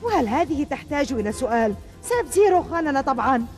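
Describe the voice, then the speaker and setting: Cartoon heroine: distrustful, blunt, high-pitched, in cavernous reverb. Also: female voice, big pitch swings, fast talker, soft background music. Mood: accusing. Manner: scornful, blunt. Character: sharp, distrustful young female fighter. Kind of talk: animated action series dub.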